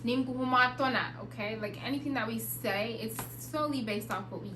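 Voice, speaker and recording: A woman's voice: on the high side, brisk. A woman podcast co-host in casual chat, speaking emphatically and repeating her points. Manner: emphatic, repetitive, preachy. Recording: echoey room with background noise.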